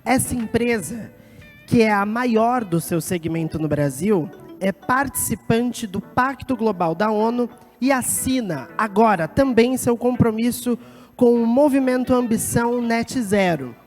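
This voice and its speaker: A woman's voice: on the high side, lively intonation, unhurried, a little mellow. A Brazilian woman speaking as an event host, formally introducing guests from the stage, with music behind her in a reverberant hall.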